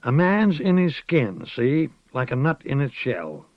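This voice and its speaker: A man's voice: medium pitch, sing-song, unhurried, no echo, voice pushed up. A man reading an audiobook, voicing an old rustic village witch woman who rambles folksily, calls people dearie and slips into chanting. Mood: folksy, knowing. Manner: rocking, chanting incantation. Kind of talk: audiobook character voice. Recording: dull, dry lo-fi recording.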